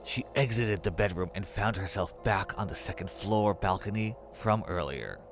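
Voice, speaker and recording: A man's voice: low-pitched, expressive, moderate pace. A man reading scripted horror story narration, with music behind his voice.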